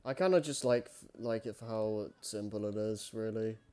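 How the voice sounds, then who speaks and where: A man talks casually in a smooth, lowish voice with a mellow tone. Faint music plays behind him as he chats. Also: moderate pace. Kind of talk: casual chat.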